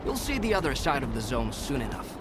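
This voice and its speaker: An actor playing a carefree, philosophical drifter in a video game cutscene, musing in a familiar, wistful way. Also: male voice, mid-range voice, huge pitch swings, fairly quick, music in the background. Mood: wistful, reassuring. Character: wistful, carefree male drifter devoted to the Zone.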